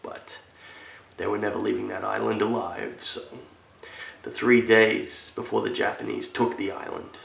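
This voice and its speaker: Low, gravelly solemn war veteran acted by a man. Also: little pitch movement, moderate pace, fairly bright tone, far from the mic. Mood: hushed, grave. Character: solemn military veteran, earnest storyteller addressing a little boy. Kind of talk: dramatic monologue reenactment of a film scene. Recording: echoey room.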